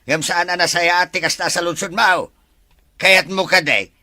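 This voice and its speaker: A man with an Ilocano Filipino accent playing an emotional, repentant husband in a family drama, with a husky voice. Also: high-pitched, fairly even intonation, normal speed, pushed and bright, no echo, clean studio sound. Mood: scolding, agitated. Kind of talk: acted family drama dialogue.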